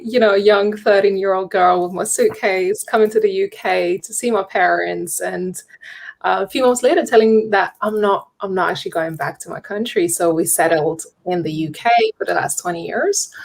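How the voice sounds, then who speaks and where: A woman's voice: medium pitch, creaky. A woman in her thirties from Lithuania, a Lithuanian native speaker, openly sharing her story on a video call with many fillers. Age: 30s. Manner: open, reflective, many fillers. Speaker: guest author.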